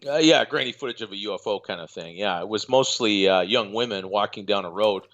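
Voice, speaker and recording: A man calling in as a guest, talking in a measured, hesitant way with many fillers. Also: on the low side, fairly bright tone, muffled recording. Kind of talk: casual remote call-in chat.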